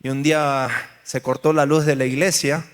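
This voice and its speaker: Argentine man, higher voice, joking storyteller at a church workshop, long hall echo. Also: level tone, moderate pace, smooth. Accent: Argentine Spanish. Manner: humorous, storytelling, asks the audience questions. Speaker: pastor leading a church workshop. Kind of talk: lively workshop talk to a congregation. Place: large hall with an audience. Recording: hall reverb.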